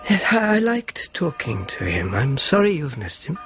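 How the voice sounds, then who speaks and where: An actor playing a courteous, reserved host with quiet sympathy in a period radio drama, measured and polite. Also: male voice, on the high side, huge pitch swings, soft background music. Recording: dull lo-fi audio with clear noise.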